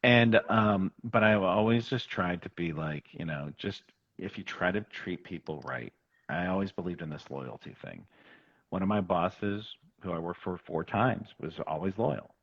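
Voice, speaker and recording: A man being interviewed in a casual, reflective chat, speaking in an earnest, halting, self-effacing way. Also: sits low, breathy edge, lo-fi sound. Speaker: interview guest, talent agent turned show executive.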